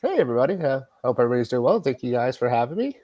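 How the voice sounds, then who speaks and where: A man's voice: mid-range voice, very animated, rapid-fire, mellow, no echo, muffled recording. A man, a guest co-host, sounding congested and talking in a friendly, chatty way. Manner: friendly, chatty, does cat meows. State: congested, snotty. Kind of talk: casual podcast chat.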